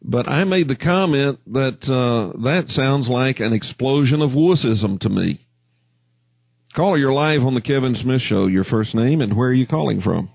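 A man's voice: mid-range voice, lively intonation, moderate pace, vocal fry, a little mellow, slightly rough, no room sound, muffled recording. A man hosting a talk radio call-in show, blunt and opinionated.

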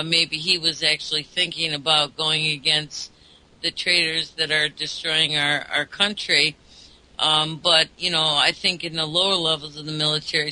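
A man's voice: fairly high pitch, bright, forceful tone, low-quality recording. A man hosting a talk show, rambling along with lots of ums and uhs.

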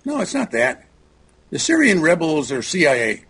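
A man speaking as a talk show guest, halting and repeating words, often clearing his throat. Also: on the high side, wildly swooping pitch, fairly bright tone.

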